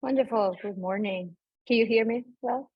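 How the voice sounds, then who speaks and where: A woman from Peru with a Peruvian accent, presenting as an academic researcher in an unscripted, halting way over a remote video call. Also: on the high side, husky, no room sound. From Lima, Peru. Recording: dull lo-fi video call audio.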